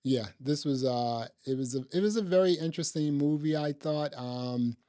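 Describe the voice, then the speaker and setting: A man's voice: on the high side, moderate pace, dark tone. A man co-hosting a podcast, chatting casually about films in a laid-back way and repeating phrases for emphasis. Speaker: podcast co-host reviewing films. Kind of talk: casual film review chat.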